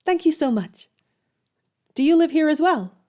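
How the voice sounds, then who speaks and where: An actress playing an earnest, polite young woman writer and governess in an audio drama, with expressive delivery. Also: high-pitched, moderate pace. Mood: polite, eager.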